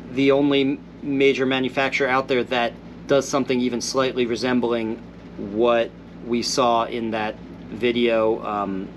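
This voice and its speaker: A man's voice: medium pitch, a little hiss or hum. A man hosting a viewer Q&A, still a little congested after being ill, reading out comments and answering them in an easygoing, thoughtful way. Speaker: host answering viewer questions.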